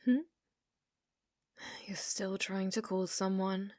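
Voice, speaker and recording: A woman's voice: mid-range voice, flat delivery, slow, mellow, dry recording, studio quality, natural voice. A British woman playing an obsessive, sweetly possessive young female stalker in an ASMR roleplay, coaxing and often dropping into whispers. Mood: sweetly menacing.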